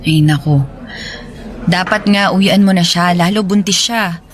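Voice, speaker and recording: An actress with a Filipino accent playing a friendly, sympathetic office worker in a conversational radio drama. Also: female voice, lowish voice, unhurried, lightly acted. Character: friendly single office woman, sympathetic listener.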